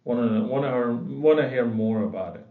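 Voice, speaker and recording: A man's voice: medium pitch, moderate pace. A man who grew up in Sweden, role-playing a business client who describes his company's problems, often repeating words as he goes. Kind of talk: role-play sales meeting. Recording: dull sound in an echoey room.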